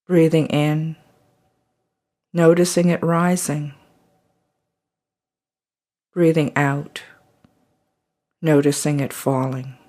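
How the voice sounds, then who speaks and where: A meditation guide leading a body scan, speaking slowly and softly and trailing into whispers at phrase ends. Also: mid-range voice, steady tone.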